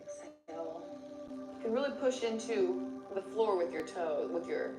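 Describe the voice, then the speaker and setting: A woman yoga instructor guiding a class step by step with gentle encouragement. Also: mid-range voice, lively intonation, unhurried. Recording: roomy echo with music behind. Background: background music.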